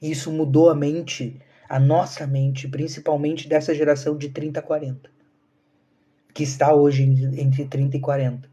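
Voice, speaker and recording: A man's voice: on the high side, little pitch movement, moderate pace, mellow, breathy edge. A man, talking earnestly to viewers on a live stream and asking rhetorical questions. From southern Brazil. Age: 30s. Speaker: spiritual channel host.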